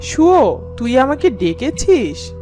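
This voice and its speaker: A woman's voice: high-pitched, big pitch swings, slow pace, warm tone, soft background music, full character voice. Meek, devoted queen, lilting voice. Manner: meek, earnest. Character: humble, selfless, devoted elder queen. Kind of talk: Bengali fairy-tale cartoon acting.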